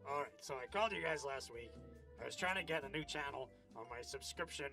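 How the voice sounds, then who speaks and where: A performer playing Death as a petty, impatient customer in a comedy sketch, talking in a casual, halting, everyday way. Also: medium pitch, expressive, gravelly, bright, forceful tone, faint music behind.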